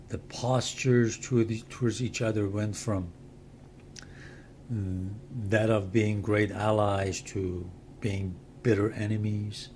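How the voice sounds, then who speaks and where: An older man from Iran with a Persian accent, speaking slowly and deliberately in an explanatory talk for his channel. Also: lowish voice, darkish tone, slight background noise. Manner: measured, deliberate, with pauses. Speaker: channel host and translator. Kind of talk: slow explanatory talk to camera.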